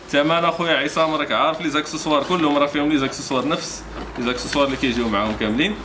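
A Moroccan man in his thirties gives an informal, repetitive product pitch. He talks quickly in a slightly high voice, with clear background noise in an indoor shop. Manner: repetitive, salesman-like patter. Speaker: shop seller presenting products. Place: small motorbike accessory shop, indoors. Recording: clearly noisy shop ambience.

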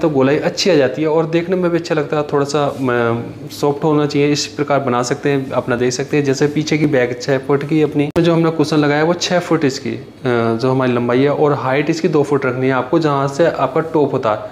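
A young man giving a plain, pause-filled walkthrough tutorial on furniture he built, filmed on a handheld phone inside a finished bedroom. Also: a little high. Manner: plain explanatory talk with frequent "jaise ki" and pauses. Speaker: carpenter showing his furniture work. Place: finished bedroom with hard floor and walls. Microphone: handheld phone camera. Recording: echoey room with faint ambient noise.

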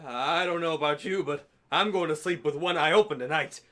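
Higher-voiced man as a nervous radio announcer. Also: fairly quick, lightly acted. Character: nervous male 1940s radio show announcer.

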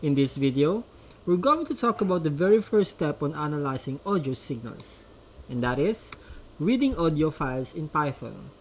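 Man, slightly high-pitched, instructional screencast narration, step-by-step explaining, faint background noise. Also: sing-song, normal speed, a little mellow. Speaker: tutorial presenter.

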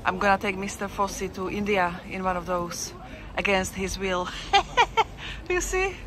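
A woman's voice: mid-range voice, lively intonation, unhurried, fairly bright tone, a faint crowd. A woman vlogging while walking through an outdoor city market, chatting casually, rambling and correcting herself, often laughing. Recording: outdoor street with traffic noise. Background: traffic and street bustle.

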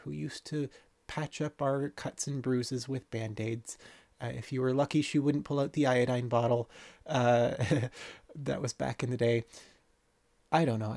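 Man, thirties, gravelly and dry, laid-back rambling game tour. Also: mid-range voice, dry recording. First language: not French. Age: 30s. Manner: relaxed, rambling, many ums and uhs. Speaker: city-builder game creator. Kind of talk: casual game tour narration.

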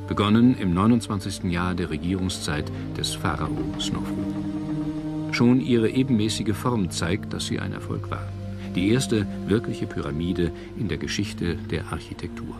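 A man reading scripted documentary narration in a measured, formal manner. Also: deep voice. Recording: music bed under narration. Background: background music.

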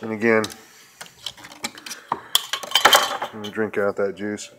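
A man's voice: fairly low pitch, level tone, slow pace, very noisy. A middle-aged man hosting a casual home cooking video, talking through each step in a rambling, folksy way with lots of fillers. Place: home kitchen counter, indoors. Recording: home kitchen with loud cookware clatter. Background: clattering pots, pans and cans.

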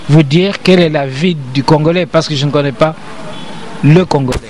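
An older man explains himself with the insistence of a lecturer, circling back and repeating his points. His voice sits fairly high for a man. Faint city noise hums behind him. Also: brisk, a little mellow. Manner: emphatic, repetitive, lecturing. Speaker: interview guest, professor. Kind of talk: interview.

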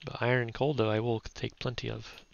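Young man, gravelly, flat delivery, casual gaming commentary, slightly muffled home mic. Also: mid-range voice, fairly quick. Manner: relaxed, chatty, thinking aloud, filler-heavy. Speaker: gaming YouTuber.

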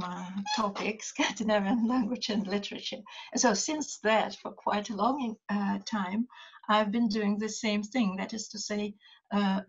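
An older woman with a Russian accent, a writer and translator speaking in a video call, hesitating often as she searches for English words. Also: medium pitch. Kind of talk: casual conversation over video call. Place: home study with bookshelves. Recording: dull lo-fi video call audio.

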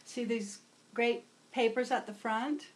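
A middle-aged woman reading a picture book aloud to children in an expressive, measured storytelling style, in a room at home. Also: fairly high pitch, a little hiss or hum. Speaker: storybook reader. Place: small home room with bookshelves.

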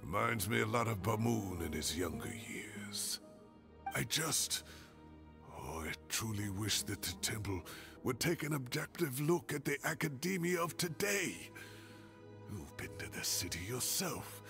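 A gentle, regretful older scholar from a game. He speaks in a hushed, slow, coaxing way, with a gravelly edge to the voice. His pitch moves about freely. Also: big pitch swings, over music. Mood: pleading. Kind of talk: game voice acting.

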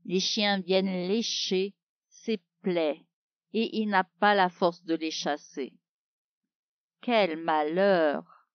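A woman tells a children's Bible story at an unhurried pace. She is expressive and speaks straight to her young listener. The recording is close and dry, with a slightly muffled, lo-fi sound. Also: lowish voice, clean tone, no room sound.

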